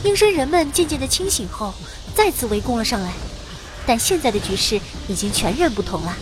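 A woman playing a young heroine who recounts her party's fantasy adventure in vivid, expressive audio drama narration. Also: fairly high pitch, a little fast, over music, low-quality recording. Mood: vivid narrating.

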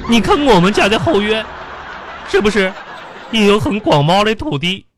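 An exasperated, bossy primary-school teacher, acted by a woman in a radio comedy sketch. The middle-pitched voice swoops theatrically and stretches sentence endings in a scolding singsong. Music is clearly heard behind. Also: sing-song, normal speed, natural voice. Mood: instructing.

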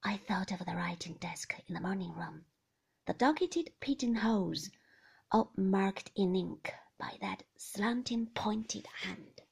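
A woman reading a novel aloud in first person, in a soft, hushed way. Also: medium pitch, expressive, slow, vocal fry, slightly rough. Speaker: audiobook reader.

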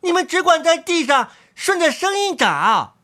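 A woman voicing a tiny, bold, prankish boy in a storybook reading, teasing and shouting in a high voice. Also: sing-song, normal speed, full character voice. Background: soft music.

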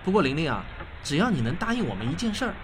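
A man's voice: on the high side, very animated, racing, noisy background. A man playing a genial, indulgent father who explains things patiently in a radio drama.

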